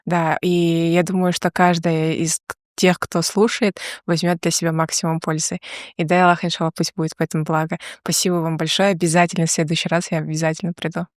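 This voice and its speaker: Female interview guest, lowish voice, confident and sincere, dry sound. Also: flat delivery, dry recording. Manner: earnest, confident, quick bursts. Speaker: guest entrepreneur. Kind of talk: casual interview talk.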